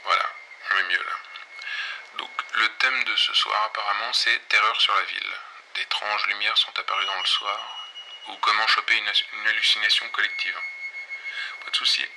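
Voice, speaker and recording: Man acting a pirate radio host, audio fiction, very bright edgy tone, sardonic, slangy, halting, faint background noise. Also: on the low side, steady tone, poor audio. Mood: sardonic, rambling. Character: irreverent, weary male pirate radio host on night show. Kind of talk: casual improvised late-night radio monologue in audio fiction.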